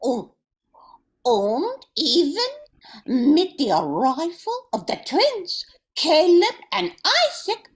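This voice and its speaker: A woman's voice: wildly swooping pitch, extremely slow, full character voice. Woman playing a gushing radio testimonial mother, wildly swooping voice. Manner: exaggerated, folksy sales pitch. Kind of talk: old-time radio ad parody.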